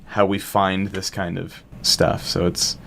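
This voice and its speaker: A man's voice: deep voice, flat delivery, slow, gravelly, fairly bright tone, slight background noise. A man chatting casually and animatedly as a guest in an interview. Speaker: show creator, director and actor, interview guest.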